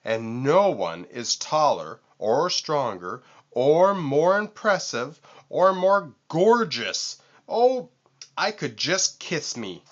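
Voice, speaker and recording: A man voicing a vain, boastful storybook vulture with a squawky, screechy voice and theatrical, drawn-out emphasis. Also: on the high side, sing-song, muffled recording, pitched above the performer's own voice. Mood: grandiose, ecstatic.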